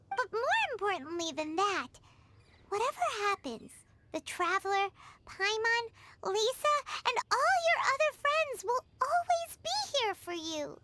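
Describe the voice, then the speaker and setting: A woman's voice: extremely high pitch, noisy background, full character voice. A woman voicing a small, cheerful, talkative childlike fairy companion in a video game. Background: music.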